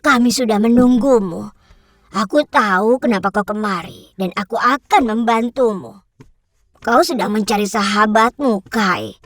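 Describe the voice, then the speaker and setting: An elderly good witch from a children's fairy tale cartoon. She sounds kindly and gentle. Her manner is welcoming, offering help. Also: fairly high pitch, a little slow, dry recording, clean studio sound.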